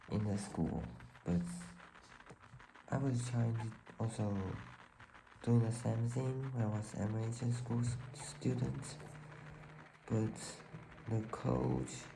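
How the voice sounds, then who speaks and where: A man from Taiwan with a Taiwanese accent tells a personal story casually and haltingly, often searching for words. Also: on the low side, gravelly, low-quality recording. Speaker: vlogger telling own life story.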